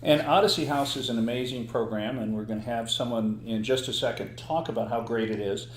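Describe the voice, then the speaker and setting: A male podcast host with a mid-range voice and a playful, joking manner. He talks in a roomy studio with a soft hum behind him. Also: medium pitch, fairly even intonation. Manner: playful, quick with jokes and laughs. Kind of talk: casual joking chat.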